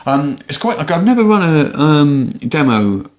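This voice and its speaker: A man's voice: on the high side, sing-song, a little slow. A British man, a podcast host, talking in a casual, conversational way.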